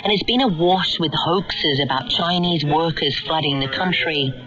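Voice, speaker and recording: A woman's voice: deep voice, normal speed, a bit bright. Woman radio reporter on muffled shortwave. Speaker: reporter and presenter. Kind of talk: scripted documentary narration and field interviewing. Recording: muffled shortwave radio capture, crowd-like background.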